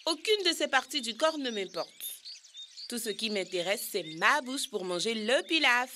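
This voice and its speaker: A woman's voice: on the high side, lively intonation, moderate pace, close to an everyday voice. A woman voicing a cheeky, boastful, food-loving youngster in a children's cartoon, with a cocky, playful delivery. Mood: opinionated.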